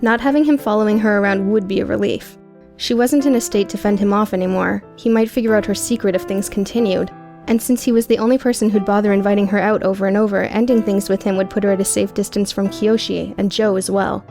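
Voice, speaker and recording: A woman's voice: medium pitch, steady tone, quick pace, a little mellow, faint music behind. A woman reading dramatic scripted narration as an audiobook narrator.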